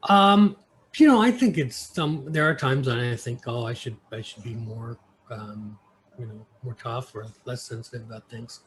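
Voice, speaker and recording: American man, halting and thoughtful. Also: mid-range voice, a little hiss or hum, poor audio. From United States, New York. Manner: halting, searching for words, thoughtful. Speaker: interview guest. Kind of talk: casual conversational interview.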